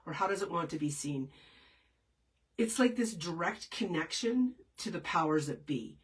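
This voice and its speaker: A woman's voice: fairly low pitch, lively intonation, moderate pace, recorded from a distance. A woman with a head cold, answering viewer questions as a spiritual teacher in a casual, meandering live session. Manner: meandering, many fillers and restarts.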